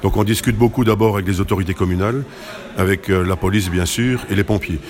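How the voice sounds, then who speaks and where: A man's voice: on the low side, fast. A man answering interview questions as a committee president, explaining in detail and often correcting himself.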